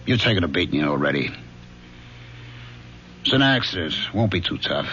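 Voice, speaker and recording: An actor playing a firm, dry, world-weary police lieutenant in a radio drama. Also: male voice, sits low, very animated, moderate pace, fairly bright tone. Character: tough, fatherly middle-aged male police lieutenant. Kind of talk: 1970s radio drama acting. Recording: dull old radio broadcast with faint hiss.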